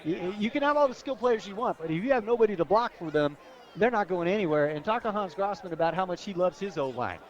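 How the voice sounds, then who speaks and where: An American man with a slightly high voice gives football color commentary on the radio. He speaks emphatically, stressing his points, and the broadcast sound is a little muffled. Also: lively intonation, quick pace. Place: stadium press booth, radio broadcast.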